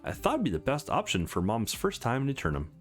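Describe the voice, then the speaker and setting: A man reading visual novel dialogue aloud in an animated let's play style. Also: mid-range voice, quick pace. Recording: music behind the voice. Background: background music.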